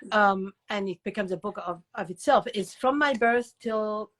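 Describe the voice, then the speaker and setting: An older woman speaks as an interview guest at a middle pitch. She tells stories in an animated way, wandering into asides and repeating words for emphasis. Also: moderate pace. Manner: animated storyteller, digressive, emphatic repetition. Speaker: guest author and educator. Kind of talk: conversational interview answers.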